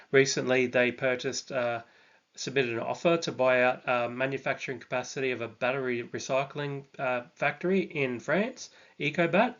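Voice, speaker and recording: A man's voice: medium pitch, a bit bright, highs cut off. Hesitant man talking stock picks. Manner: hesitant, many ums and restarts. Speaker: stock channel host. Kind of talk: casual stock analysis monologue.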